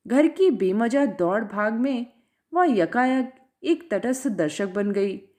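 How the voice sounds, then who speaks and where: A woman reading a literary short story aloud in a measured, expressive way, her voice dropping at the end of phrases. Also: fairly high pitch.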